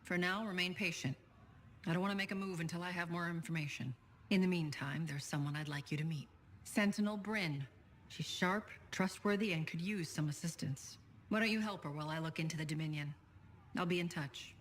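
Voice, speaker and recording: An actress playing a guarded spymaster in a sci-fi video game, speaking in a measured, controlled, formal way. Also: female voice, fairly low pitch, a little hiss or hum, natural voice. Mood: guarded, grave. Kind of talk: conversational video game voice acting.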